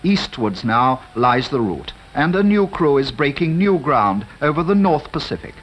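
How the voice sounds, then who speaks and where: Lively 1950s British newsreel narrator. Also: male voice, on the high side, normal speed, muffled recording. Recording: archive newsreel voice-over with music behind.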